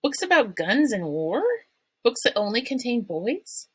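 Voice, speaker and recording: A woman's voice: fairly high pitch, sing-song, measured pace, slightly rough, no room sound, voice pushed up, heavily acted. Guarded skeptical girl, read by a woman, curt delivery. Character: guarded skeptical twelve-year-old girl.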